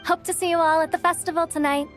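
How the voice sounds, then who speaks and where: A woman's voice: very high-pitched, little pitch movement, quick pace, over music. A woman playing a friendly, helpful young local in a video game, with a casual, obliging manner.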